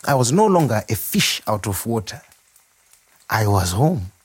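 An actor playing a warm, proud, playful nurse turned chef in an expressive audio monologue. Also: male voice, on the low side, a little slow, husky, heavily acted. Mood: reflective. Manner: expressive, reflective, wryly humorous. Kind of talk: dramatic first-person monologue.

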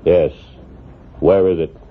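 An actor playing a calm, bold masked western lawman with a firm, clipped, authoritative delivery in a vintage radio drama. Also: sits low, slow. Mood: stern, commanding. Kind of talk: 1940s radio western acting. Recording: muffled, noisy old radio recording.

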